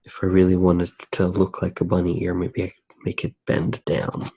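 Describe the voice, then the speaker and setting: A man softly narrating his drawing for ASMR, hushed, unhurried and musing as he works. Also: low voice, monotone, vocal fry, a little hiss or hum.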